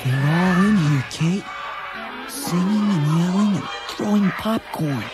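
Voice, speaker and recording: Man acting young male hero, earnest, urgent, children's read-along drama, clear music underneath. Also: sits high, slow pace. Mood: determined. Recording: music behind the voice.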